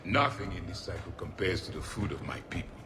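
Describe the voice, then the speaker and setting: An actor playing a proud, blunt, grim ancient male alien warrior in a video game, speaking in terse, deliberate pronouncements. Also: low voice, lively intonation, bright, forceful tone. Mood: haughty, gloomy. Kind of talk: video game character acting.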